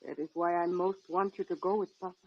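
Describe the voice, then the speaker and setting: Gentle, earnest young radio heroine. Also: female voice, lowish voice, fairly even intonation, fast. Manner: gentle, earnest, slightly formal English. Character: gentle, persuasive young woman, a Spaniard's daughter. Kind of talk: old-time radio drama acting. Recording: dull lo-fi vintage radio recording.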